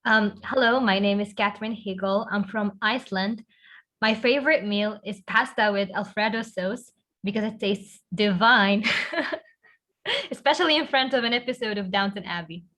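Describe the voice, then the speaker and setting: A woman's voice: medium pitch, normal speed, pushed and bright. A woman student on a video call cheerfully reading her introduction to the class. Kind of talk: reading her own writing aloud.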